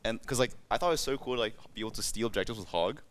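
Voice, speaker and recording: A man's voice: on the low side, rapid-fire, slight background noise. A twenty-year-old man chatting casually as a gaming panel guest, slangy and playful. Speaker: esports pro player, panel guest. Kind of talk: casual chat.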